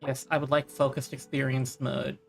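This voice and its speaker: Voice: medium pitch, little pitch movement, normal speed, creaky. Casual game streamer, music behind. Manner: informal, rambling, thinking aloud.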